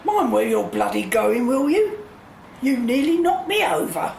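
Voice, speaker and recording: A woman's voice: a little high, very animated, unhurried, gravelly, a little mellow, heavily acted. A woman playing a grumpy, bigoted older housewife who scolds and mutters indignantly in a radio play. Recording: roomy echo, faint noise.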